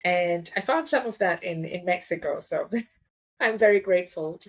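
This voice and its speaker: A woman's voice: fairly low pitch, expressive, moderate pace. A woman in her thirties from Singapore, a podcast guest talking in a friendly, reflective way with easy laughs. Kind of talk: casual interview chat.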